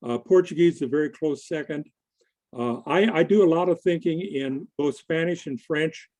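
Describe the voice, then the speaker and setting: A man giving a conversational presentation to a group in a halting, deliberate manner. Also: on the high side, darkish tone. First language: English. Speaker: conference presenter. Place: online video meeting.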